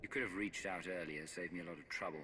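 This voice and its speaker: An actor playing a cool, wry outlaw hero in a video game with dry, understated delivery. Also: male voice, low voice, fairly even intonation, fast, bright, forceful tone, a little hiss or hum. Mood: wry, defiant.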